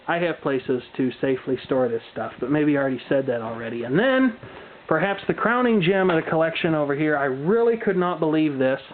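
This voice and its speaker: A man presenting a casual, unscripted show-and-tell with dry, wry humor and folksy turns of phrase. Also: fairly high pitch. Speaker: hobbyist video presenter. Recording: muffled phone-like audio with background noise.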